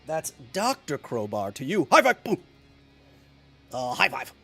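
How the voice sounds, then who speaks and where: A man's voice: fairly high pitch, wildly swooping pitch, a little slow, vocal fry, sharp tone, faint music behind. Sniffly, rambling male game streamer. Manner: rambling, jokey, talks to chat. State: congested, recovering from bronchitis. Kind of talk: live game stream chat.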